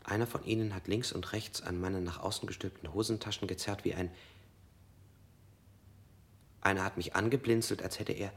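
A dazed, rambling suspect. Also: male voice, sits low, steady tone, fast, fairly bright tone, breathy edge, full character voice. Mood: halting. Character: dazed interrogated man, rambling and evasive. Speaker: interrogated man. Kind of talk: radio drama acting.

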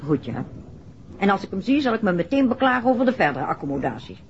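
An actress playing a fussy, complaining wife in a 1960s radio drama, petulant and pointed, with a Netherlands Dutch accent. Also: female voice, medium pitch, very animated, quick pace. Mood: irritated. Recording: muffled, noisy 1960s radio recording.

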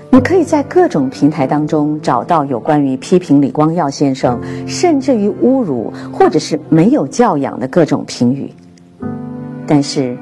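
A woman hosting a programme, narrating scripted commentary in a measured storytelling way. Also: low-pitched. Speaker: radio programme host. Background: soft instrumental music.

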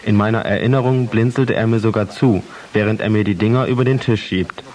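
A man in his thirties speaks in a fairly low voice. He delivers a personal, confessional monologue from a script. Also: fairly even intonation, brisk, a little mellow. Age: 30s.

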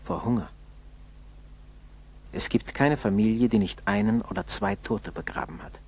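A man narrating a scripted 1960s German school radio drama in a measured way with dramatic pauses. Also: fairly low pitch, breathy edge. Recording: noisy old 1960s radio recording.

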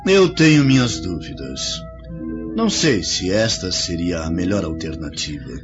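A man's voice: sits low, very animated, a little slow. An actor playing a cautious, respectful Roman man in a radio drama, speaking formally. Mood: doubtful. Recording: dull lo-fi audio, loud music behind.

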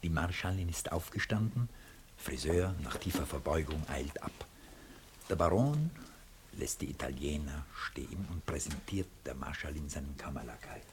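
A man calmly reading the stage directions as narrator of a radio drama. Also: rumbling low, a little hiss or hum. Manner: calm, measured, sometimes hushed.

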